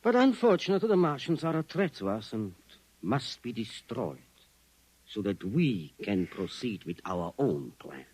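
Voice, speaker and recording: An actor in a 1950s radio drama playing a ruthless Venusian of about sixty, formal, condescending and imperious. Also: male voice, lowish voice, big pitch swings, moderate pace, a little mellow, breathy edge, poor audio. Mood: smugly superior. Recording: vintage 1950s radio recording.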